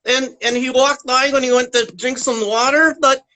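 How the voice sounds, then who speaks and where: A baby dinosaur puppet with a high little voice. It is friendly and eager, chattering in childlike, slightly repetitive phrases as it would on a kids' show. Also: fairly even intonation, normal speed, pushed and bright, rough recording. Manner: childlike, repeats little words, storytelling.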